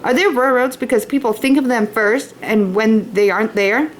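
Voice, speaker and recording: Curious pioneer child played by a woman reader, earnest storybook delivery, raised pitch, slightly noisy recording. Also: moderate pace, close to an everyday voice. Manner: inquisitive, earnest. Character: eager, curious young pioneer girl.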